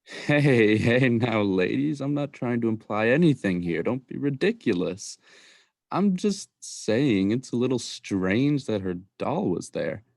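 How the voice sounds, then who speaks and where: A man's voice: mid-range voice, expressive, a little slow, gravelly, darkish tone, no room sound, clean studio sound. A man playing a slightly sleazy salesman uncle in a student radio play, casual and defensive. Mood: indignant, evasive. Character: lightly sleazy middle-aged stolen-watch salesman uncle.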